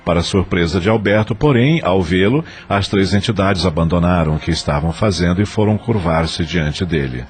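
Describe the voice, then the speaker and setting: A man's voice: sits low, moderate pace, few pauses, a little hiss or hum. A man with a Brazilian accent narrating an audio novel in a scripted, dramatic style.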